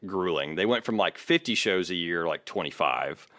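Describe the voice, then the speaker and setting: A man's voice: low-pitched, animated, moderate pace, bright, forceful tone, dry recording, clean studio sound. A man hosting a casual music podcast, with a wry, sardonic manner. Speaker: podcast host.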